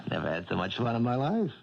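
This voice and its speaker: Cocky young pug, breezy slangy charmer with a swooping delivery, dull old radio drama recording. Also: male voice, mid-range voice, vocal fry. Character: cocky, smooth-talking young prizefighter and ladies' man. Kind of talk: 1970s radio drama acting.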